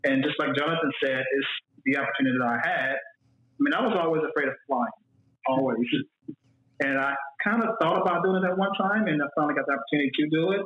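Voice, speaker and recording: A man in his forties from Mississippi chats loosely about his job, often doubling back on his words. His voice sits a touch high. The sound is thin and phone-like, with a roomy echo around it. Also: quick pace. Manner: repeats words, loose and rambling. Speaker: guest, flight attendant. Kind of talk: casual interview talk. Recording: echoey room, phone-like audio.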